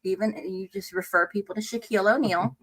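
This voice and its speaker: A woman co-hosting a casual reaction chat, talking freely with lots of "like" and quick laughs. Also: medium pitch, fairly even intonation, fairly bright tone, low-quality recording. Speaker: co-host reacting to a video.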